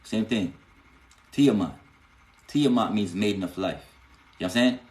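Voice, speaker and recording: A man's voice: lowish voice, moderate pace, darkish tone, roomy sound. A man, teaching spiritual lore casually on a live stream and answering viewers, often asking "you know what I'm saying" and rising into emphatic bursts.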